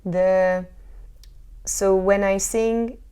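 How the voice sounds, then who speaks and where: A woman's voice: medium pitch, steady tone, very slow, mellow, clear, resonant tone. A woman with a Hungarian accent, a singer answering interview questions thoughtfully, often restarting her sentences as she searches for words. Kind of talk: casual interview talk.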